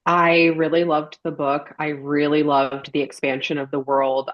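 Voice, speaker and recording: A woman chatting casually as a podcast panel guest, on a slightly dull recording. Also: a bass voice. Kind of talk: casual discussion. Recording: slightly dull, lo-fi microphone sound.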